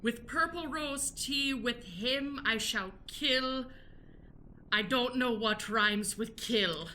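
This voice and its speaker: A woman's voice: on the high side, slow, bright, forceful tone, a little hiss or hum. A woman playing a stern, pious busybody in an improvised radio play, theatrical, declamatory and wry. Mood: theatrical reading.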